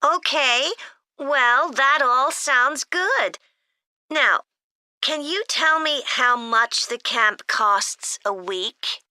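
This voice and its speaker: A woman's voice: high voice, drawn-out words, sharp tone, no room sound, heavily acted. An actress playing a polite, agreeable mother making a booking enquiry in a listening-test dialogue.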